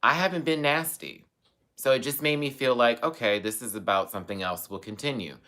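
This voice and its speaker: A man's voice: medium pitch, fairly quick, pushed and bright. A man chatting casually on a livestream as host, venting to viewers in an emphatic, sassy, colloquial way and repeating phrases for stress.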